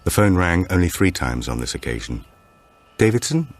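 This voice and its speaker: A man reading a suspense thriller as an audiobook narrator, in a measured, scripted way. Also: sits low, animated. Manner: measured, acts out dialogue.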